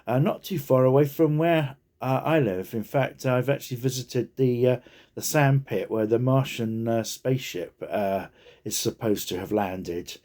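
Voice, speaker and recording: A man giving an informal unscripted talk, haltingly, with many ums and pauses. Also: mid-range voice, darkish tone. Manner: halting, hesitant, heavily punctuated with ums and pauses. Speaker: speaker giving personal reflections.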